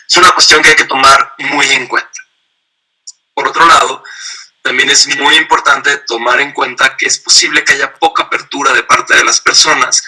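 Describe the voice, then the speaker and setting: A man's voice: mid-range voice, sharp tone, breathy edge, rough recording. A man giving an online training lecture on asylum law, explaining step by step and acting out short example dialogues.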